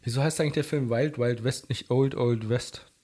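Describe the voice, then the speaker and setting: Slightly hoarse male podcast co-host, velvety tone, deadpan absurd humour, studio mic. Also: moderate pace. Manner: deadpan absurd jokes, low velvety delivery. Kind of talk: casual banter. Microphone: studio mic through mixing desk.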